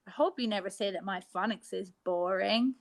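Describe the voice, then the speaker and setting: An Irish woman teacher giving a phonics lesson to young children, sounding out letters slowly and clearly. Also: medium pitch. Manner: slow, exaggerated sounding out of letters.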